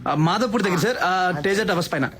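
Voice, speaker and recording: A man's voice: high voice, lively intonation, very fast, fairly bright tone. A man playing an exasperated young bystander in a film comedy, protesting as he is dragged along. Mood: flustered, protesting. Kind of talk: Telugu film comedy acting.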